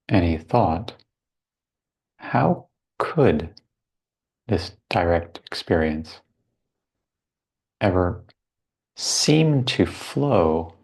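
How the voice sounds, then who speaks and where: A man leading a guided meditation as a teacher, speaking contemplatively in short phrases with long pauses between them. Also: low voice, gravelly, a little mellow.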